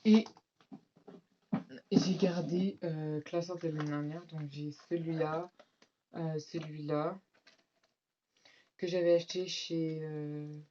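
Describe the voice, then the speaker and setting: A woman's voice: low voice, fairly even intonation, slow, pauses often, warm tone, muffled recording, a little hiss or hum. A teenage girl with a French accent, slightly congested from a cold, chatting casually to viewers in a rambling back-to-school haul vlog full of fillers. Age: teens. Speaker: vlogger.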